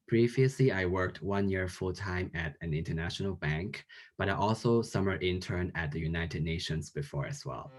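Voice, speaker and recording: Low-voiced man from Hong Kong. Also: normal speed. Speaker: guest. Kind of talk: casual interview answers.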